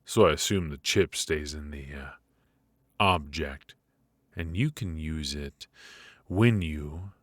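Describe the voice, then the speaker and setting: A man performs a menacing mafia boss with an extremely low voice, recorded close and dry. He talks very slowly and deliberately, teasing his captive with mock politeness in an intimate ASMR roleplay style. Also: a bit bright, dry recording, full character voice. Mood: mocking, intrigued. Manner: slow, deliberate, mockingly polite with drawn-out pauses.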